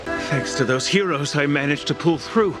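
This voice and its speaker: Man playing a high-pitched, earnest townsman. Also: normal speed, music in the background, lightly acted. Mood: grateful, wondering. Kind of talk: video game dialogue.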